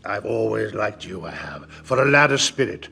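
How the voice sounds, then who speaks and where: A man's voice: lowish voice, lively intonation, normal speed, gravelly, pushed and bright, slightly rough, exaggerated voice. A man playing a wily old pirate captain in an audio adventure, mock-friendly and menacing, talking in a sly rustic seafaring dialect. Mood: mock-friendly, needling.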